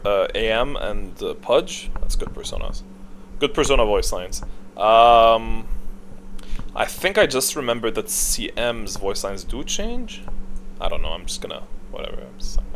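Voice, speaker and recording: A man's voice: medium pitch, fairly bright tone, a little hiss or hum. A man giving casual, rambling tier-list commentary as a gaming video creator, opinionated and informal with some swearing.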